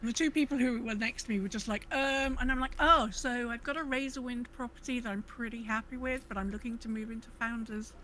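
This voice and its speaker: A woman co-hosting a podcast in casual, halting talk, often rephrasing as she goes. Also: a little high, steady tone, sharp tone, a little hiss or hum, low-quality recording. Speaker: podcast co-host. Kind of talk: casual chat and informal news round-up.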